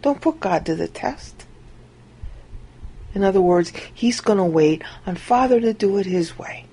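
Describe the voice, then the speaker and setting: A woman giving an informal Bible teaching monologue over a screen recording, acting out quoted lines with big jumps in pitch. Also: lively intonation, a little slow, dark tone, slightly rough.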